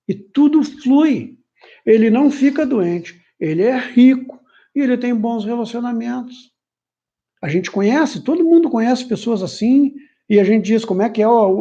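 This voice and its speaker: Animated man, high and mellow. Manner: animated, mimics whiny complaints in a higher voice. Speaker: personal development teacher. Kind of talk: informal lecture to camera. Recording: muffled lo-fi audio.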